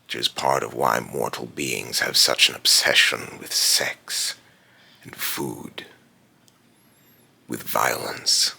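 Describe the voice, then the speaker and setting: A man voicing an ominous immortal being in a theatrical villain monologue, grave and condescending, with solemn, grandiose phrasing and a gravelly, breathy rasp. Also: rumbling low, slow, pauses often, bright, forceful tone, full character voice. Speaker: voice actor.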